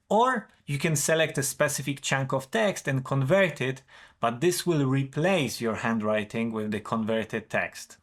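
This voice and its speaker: A man reads through a product review at a mid-range pitch. He explains each point in a steady, even tone. His delivery is plain and methodical. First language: Polish. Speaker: tech reviewer. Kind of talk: scripted product review narration.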